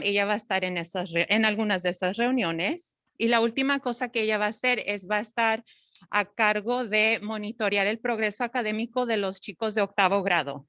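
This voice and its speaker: A woman interprets a colleague's talk for parents and explains each point clearly. Her voice is mid-pitched and the room sounds dry. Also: fairly bright tone, no echo. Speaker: literacy coordinator and interpreter. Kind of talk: interpreting into Spanish.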